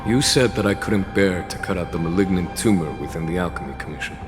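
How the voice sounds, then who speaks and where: An actor playing a composed, grave general in a video game, speaking in a measured, formal, philosophical way. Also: male voice, sits low, natural voice. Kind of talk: video game cutscene voice acting. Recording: reverberant hall under clear music.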